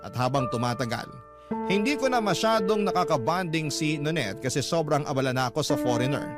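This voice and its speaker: Fairly high-voiced Filipino male storyteller over loud music. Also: expressive, fairly quick. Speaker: radio host reading a listener's letter. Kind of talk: dramatic first-person story narration.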